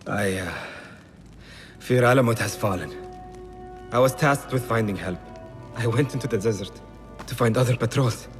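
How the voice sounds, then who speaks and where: An actor playing a mortally wounded warrior in a video game, halting and solemn, loyal and resigned to his fate. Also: mid-range voice, faint music behind, lightly acted. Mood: weary, resigned. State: weak, bleeding to death. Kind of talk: dramatic video game acting.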